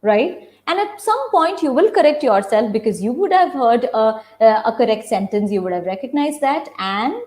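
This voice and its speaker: A woman's voice: on the high side, lively intonation, normal speed, hardly any pauses, a little mellow. A woman theatre practitioner explaining ideas on a webinar, stressing her points by repeating key phrases.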